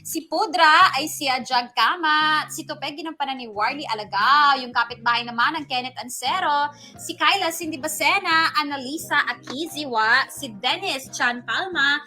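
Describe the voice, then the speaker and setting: A female radio co-host with a Filipino accent and a high, forceful, bright voice. She banters casually and playfully, the way a DJ does when reading things out on air. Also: normal speed, soft background music.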